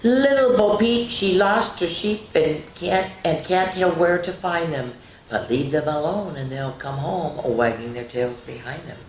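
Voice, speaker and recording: Older female children's story host, low-to-mid register for a woman, sing-song reading with chatty asides, roomy echo. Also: a little slow, slight background noise. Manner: addresses young listeners directly. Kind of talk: reading nursery rhymes aloud to children. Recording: roomy, echoing room sound.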